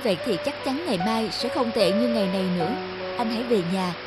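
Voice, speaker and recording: A woman playing a kind, sensitive young woman in an animated drama, speaking earnestly and with emotion. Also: a little high, quick pace, lightly acted, rough recording. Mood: concerned, gentle. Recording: music behind.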